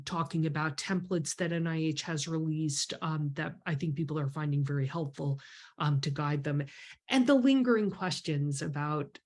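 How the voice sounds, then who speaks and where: A woman's voice: sits low, a little mellow. A woman in her fifties with a stuffy, congested voice, hosting a members video call from her home office, explaining things in a chatty way with lots of ums. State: congested from a cold. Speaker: grant writing mentor hosting a members call. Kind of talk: casual webinar talk with many ums. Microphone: webcam on a video call.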